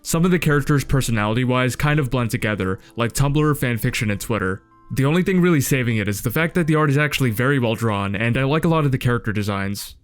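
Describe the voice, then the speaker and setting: A man's voice: medium pitch, lively intonation, fast talker. A man giving casual scripted commentary as the narrator of a video review. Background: music.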